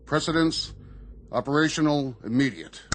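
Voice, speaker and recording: A man playing a crisp, obedient Navy communications officer in a radio drama, formal and procedural. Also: fairly high pitch, normal speed, a little hiss or hum, natural voice. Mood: crisp, businesslike. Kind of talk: radio theatre acting.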